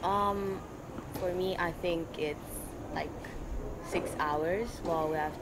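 A woman's voice: lowish voice, fairly even intonation, slow, heavy background noise. A teenage girl from Zimbabwe giving thoughtful, um-laden answers in an outdoor court-side interview. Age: teens. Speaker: junior tennis player, guest.

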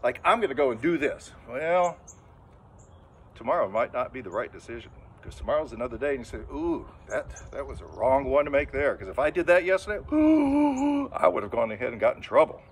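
Animated older man giving a children's sermon outdoors. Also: medium pitch, a little fast, a little hiss or hum. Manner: lively storytelling, acts out inner voices, gestures a lot. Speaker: children's sermon leader at a church. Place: outdoors in front of a brick church building. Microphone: camera a few metres away, standing full figure.

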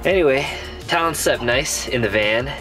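A man's voice: medium pitch, lively intonation, moderate pace, music in the background, low-quality recording. A man in his thirties chatting casually as a camping vlogger, recorded on a camera held at arm's length. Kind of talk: casual vlog chat to camera. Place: inside a camper van and outdoors at a forest campsite.

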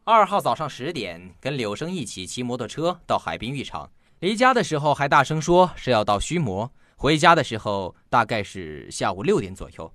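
A man's voice: medium pitch, big pitch swings, normal speed, few pauses, fairly bright tone, no echo, clean studio sound. An actor playing a sly, fawning henchman and shady informant, glib and wheedling, in an audio drama. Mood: reporting glibly. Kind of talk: Chinese audio drama acting.

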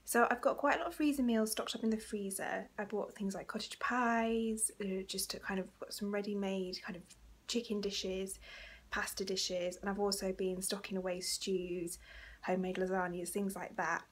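A woman's voice: mid-range voice, steady tone, moderate pace, husky. A young woman chatting casually through a grocery haul vlog, laughing lightly between items, filmed on a phone at arm's length in a living room. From England. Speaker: vlogger. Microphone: phone camera at arm's length.

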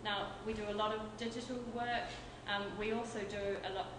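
A woman's voice: medium pitch, flat delivery, brisk. A woman giving an informal company presentation at a tech meetup, hesitant, with frequent fillers and restarts. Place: event venue with an audience. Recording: echoey room from far off, noisy.

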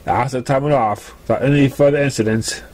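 A man reading a story aloud as an amateur narrator, with halting, stumbling delivery. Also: medium pitch, fairly even intonation, darkish tone. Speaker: amateur fan fiction reader.

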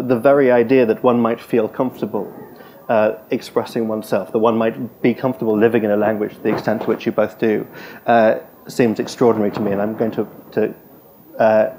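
A man's voice: medium pitch, a little mellow, a little hiss or hum. A man moderating a panel discussion on a festival stage, speaking with frequent hesitant fillers. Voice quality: hesitant, filler-heavy phrasing. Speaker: event chair.

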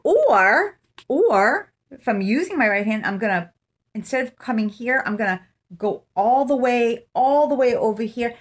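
A woman's voice: a little high, animated, a little slow. A middle-aged woman giving casual true-crime commentary to camera, conversational and thinking aloud, recorded on a phone at arm's length in a room at home. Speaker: vlogger. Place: small room indoors, seated in an armchair.

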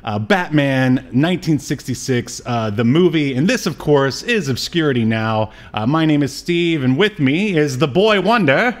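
A man's voice: a little high, animated, moderate pace, hardly any pauses. A middle-aged American man chatting casually as a pop-culture podcast host, rambling with wry asides. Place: small room at home, on a video call.

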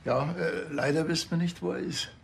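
A man's voice: on the high side, fairly quick, gravelly, slight background noise. An actor playing a wry, sardonic village policeman with a Bavarian accent, speaking dryly in a TV crime comedy. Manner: dry, laconic, sarcastic.

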